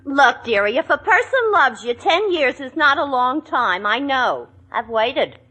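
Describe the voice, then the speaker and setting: A woman acting a bossy, loudmouthed female friend in a 1940s radio sitcom, slangy and wisecracking. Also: high voice, big pitch swings, unhurried, breathy edge, full character voice. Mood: sly, bossy. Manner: slangy wisecracking, calls people "dearie".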